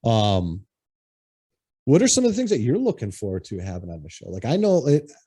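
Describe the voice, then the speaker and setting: A man's voice: mid-range voice, wildly swooping pitch, brisk, mellow, husky, no echo, studio quality. A man from Connecticut hosting a podcast in casual, rambling chat, with plenty of restarts and swearing. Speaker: podcast host.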